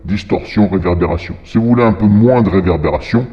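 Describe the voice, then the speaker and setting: Deep, heavily reverberant man explaining software. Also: fairly quick, lo-fi sound. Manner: rambling, thinking aloud. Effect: demon voice changer: lowered pitch, reverb. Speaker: software tutorial host. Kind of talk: informal screen-recorded software tutorial. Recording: long echoing reverb from effect.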